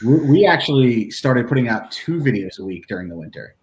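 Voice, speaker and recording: A man's voice: mid-range voice, big pitch swings, fairly quick. A man co-hosting a motorcycle channel livestream, easygoing and teasing, steering the chat to new questions.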